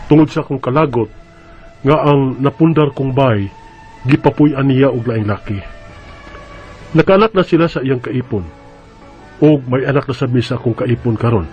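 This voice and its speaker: A medium-pitched male voice with a Bisaya Filipino accent , a man acting. He is a hurt, betrayed father in a radio drama, speaking with earnest emotion over soft music. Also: normal speed, long pauses, highs cut off, close to an everyday voice. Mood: bitter, reflective. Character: hurt, betrayed working father, aggrieved and bitter.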